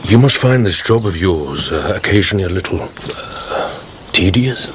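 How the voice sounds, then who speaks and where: An actor playing a genteel, sly, ingratiating gentleman in a radio drama. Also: low-pitched, animated, normal speed. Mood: slyly probing. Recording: background noise with clicks.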